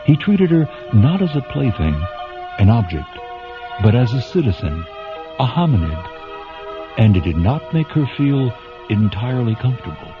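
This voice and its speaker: A man's voice: medium pitch, sing-song, normal speed. A man narrating a radio drama in a scripted, dramatic storytelling style. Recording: dull radio recording with music behind.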